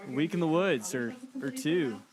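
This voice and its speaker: A man playing an eager high school camper rambling hesitantly into an audio diary, with an American accent. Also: animated, normal speed, a crowd in the background, close to an everyday voice, rough recording. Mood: eager, nervous. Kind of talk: casual recorded audio diary, acted.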